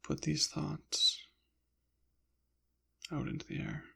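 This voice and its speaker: A man rambling softly in an ASMR style, slipping in and out of a whisper, with a hesitant, halting, apologetic manner. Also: a little high, monotone, creaky, slightly rough. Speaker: ASMR channel creator. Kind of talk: soft-spoken ASMR ramble, partly whispered.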